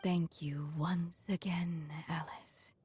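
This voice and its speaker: Fading witch storyteller, lowish, slow, breathy, subdued, tender, fantasy game. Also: fairly even intonation, dark tone. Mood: tender farewell. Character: gentle, fading witch storyteller, calm and resigned. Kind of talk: animated game voice acting.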